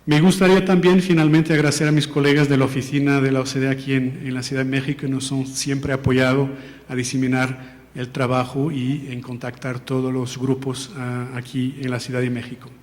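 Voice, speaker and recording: A man's voice: mid-range voice, flat delivery, brisk. A man from Portugal with a Portuguese accent, giving a formal report presentation with careful, hesitant phrasing and frequent self-corrections. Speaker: presenter of an education policy report. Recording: long reverb of a large hall.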